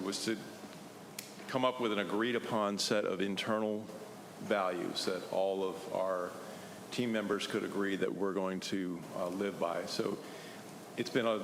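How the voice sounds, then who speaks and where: Deep-voiced town manager in an echoey hall. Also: male voice, vocal fry. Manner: earnest, halting with fillers and restarts. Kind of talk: formal remarks at a council meeting. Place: town council chamber. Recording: echoey hall with background noise.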